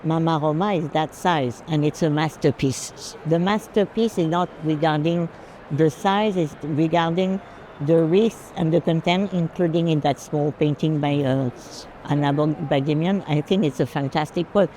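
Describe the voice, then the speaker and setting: A woman talks casually as a curator. Her voice is warm and dark, and she states her views firmly. There is clear ambient noise around her. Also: deep voice, moderate pace, low-quality recording. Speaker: curator and collection advisor. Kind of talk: casual art discussion. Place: busy art fair.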